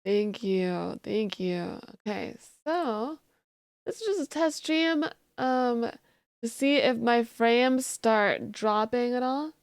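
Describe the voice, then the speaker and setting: Sleepy girl streamer chatting w chat, kinda high voice, dry close sound. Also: expressive, takes it slowly, smooth, no room sound. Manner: chatty, thanks viewers warmly and giggles. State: sleepy, just woke up. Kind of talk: casual live-stream chat.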